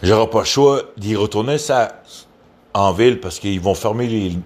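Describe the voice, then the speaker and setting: A man's voice: lowish voice, normal speed, darkish tone. A man from Quebec with a Québécois accent, giving a casual, rambling rant in a crude, profane, hesitant way. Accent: Québécois French.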